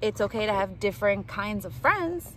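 A middle-aged woman reading a picture book aloud to young children in an animated, direct way, recorded outdoors in the woods. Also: medium pitch, moderate pace. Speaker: children's storytime reader. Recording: outdoor ambient noise.

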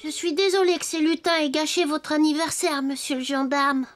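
A woman voicing a polite, lively little wooden puppet boy in a French children's cartoon dub, with a boyish voice. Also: high voice, a little fast, soft background music, natural voice.

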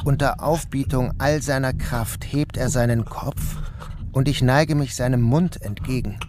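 A man's voice: mid-range voice, measured pace, noisy background, natural voice. An actor playing a troubled man who narrates his dreamlike ordeal in a radio play. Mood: grave, intense. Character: troubled male first-person narrator recounting a dreamlike ordeal. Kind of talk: first-person audio drama narration.

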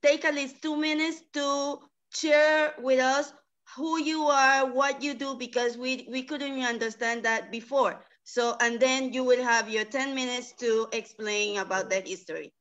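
A woman moderating a panel in a halting way, repeating words as she searches for what to say. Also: high-pitched, steady tone, a bit bright. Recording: dull, lo-fi online call.

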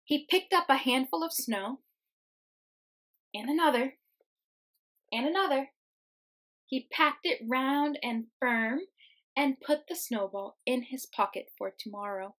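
A young woman, a teacher reading a children's storybook aloud, expressive and explaining things simply for young listeners. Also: sits high, a little slow, long pauses. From United States. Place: small room at home, indoors.

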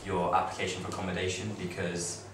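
A man's voice: low-pitched, level tone, fast talker. A young man chatting loosely to fellow students in a classroom, full of fillers and restarts. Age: young adult. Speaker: student on a study-abroad panel. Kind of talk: casual informal talk to students. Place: classroom with projector screen. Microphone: far camera. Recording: echoey room, distant mic, noisy.

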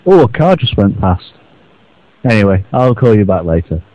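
A man's voice: on the low side, big pitch swings, moderate pace, dark tone. A man leaving a casual, rambling voicemail in a hesitant, mock-earnest way. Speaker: voicemail caller. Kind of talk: casual rambling phone message. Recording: muffled internet voicemail, very dry.

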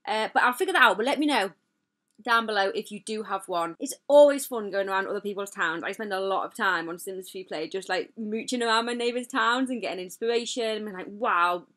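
A woman's voice: mid-range voice, brisk, a bit bright. Woman, enthusiastic, rambling, casual game commentary. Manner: gushing, chatty, rambling with self-deprecating asides. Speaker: gaming channel host. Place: voice-over over gameplay footage.

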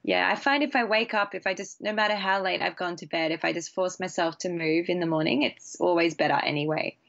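A young woman, a bassist chatting casually as a podcast guest in a relaxed, easy way. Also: fairly quick, gravelly, lo-fi sound. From Australia. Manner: relaxed, chatty, laughs easily. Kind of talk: casual interview chat.

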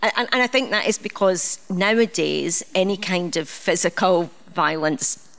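A woman's voice: lowish voice, normal speed, vocal fry, fairly bright tone. Chatty woman on a theatre panel. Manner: chatty, with frequent restarts and fillers. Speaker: assistant director. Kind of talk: live panel talk. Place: theatre stage. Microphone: handheld mic.

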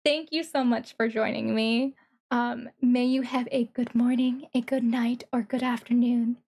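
A woman with a fairly high voice reacts to a game trailer as a streamer. She speaks very slowly, pausing mid-phrase, with an awestruck, gushing manner. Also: fairly even intonation, vocal fry, darkish tone, husky.

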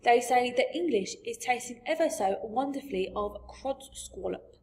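Lady doing a big friendly giant from a kids book, highish voice, muddled grammar, proud n gentle, really emphatic. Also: expressive, normal speed, slight background noise. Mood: explaining. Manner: garbled grammar, invented words, emphatic. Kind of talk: storybook character voice.

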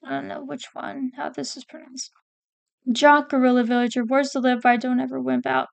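A woman with a high voice and a gravelly fry chats casually through a ranking, trailing off and restarting as she reads lines aloud. The sound is close and dry, with no room around it. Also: little pitch movement, normal speed, no echo. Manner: chatty, hesitant, self-correcting, reads quotes aloud. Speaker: PNGtuber ranking game characters. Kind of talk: casual commentary while reading a wiki.

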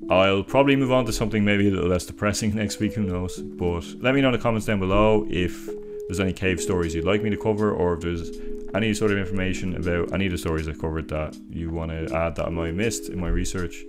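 A man's voice: low-pitched, fairly even intonation, fast. A young man from Dublin with a Dublin Irish accent, narrating a true story in an earnest, chatty way from a small room at home. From Dublin, Ireland. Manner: earnest, chatty commentary with restarts. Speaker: YouTube storyteller. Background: faint music.

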